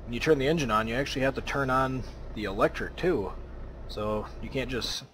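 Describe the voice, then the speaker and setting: A man's voice: mid-range voice, fairly quick, a bit bright, slight background noise, poor audio. A man from the American Midwest casually commentating as he plays a driving game, chatty and rambling with lots of fillers. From Minnesota, United States. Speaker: let's play host.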